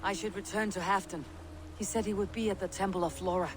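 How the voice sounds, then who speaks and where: An actress playing a stern female Viking warrior in a video game, blunt, steady and menacing. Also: mid-range voice, fast, background noise, close to an everyday voice. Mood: calm. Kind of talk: video game cutscene acting. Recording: game audio over loud ambience.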